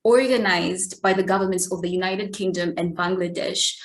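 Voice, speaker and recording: A woman's voice: on the low side, fairly even intonation, normal speed. A woman from Malawi moderating a formal online event, welcoming the audience and reading her introduction.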